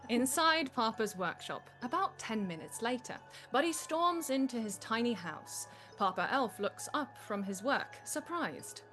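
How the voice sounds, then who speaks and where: A woman's voice: on the high side, very animated, measured pace, few pauses, a bit bright. Woman narrating a script over faint music. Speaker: narrator reading stage directions. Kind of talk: scripted narration at a live table read.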